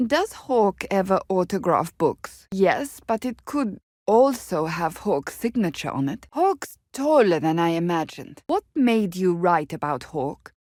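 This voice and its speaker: A blunt, devout warrior woman from a fantasy video game. Her voice sits on the low side, with a very dry, studio-tight sound. She speaks formally and earnestly, in clipped, stern phrases. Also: sing-song, measured pace, few pauses, a little mellow, no echo. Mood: curious, earnest.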